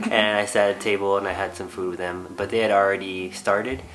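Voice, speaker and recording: A man in his thirties recalling how he met his wife, speaking in a halting casual way with many restarts and fillers. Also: sits low, steady tone, fairly bright tone, poor audio. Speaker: guest, host's husband. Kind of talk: casual recollection.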